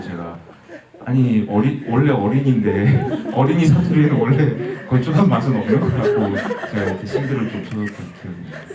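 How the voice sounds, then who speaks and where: A man from Busan with a Busan accent, chatting and joking about himself at a live audience Q&A. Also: lowish voice. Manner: chatty and self-joking, laughs often. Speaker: film director at an audience talk. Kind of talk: casual talk at a live Q&A. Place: event hall. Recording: distant, roomy hall sound with clear noise.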